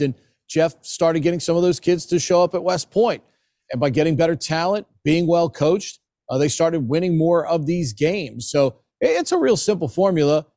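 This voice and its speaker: An American man speaks as a guest sports analyst in a conversational style. His voice sits a little high for a man, and the sound is dry with very little room in it. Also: warm tone, no room sound. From Maryland, United States. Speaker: sports broadcaster, interview guest.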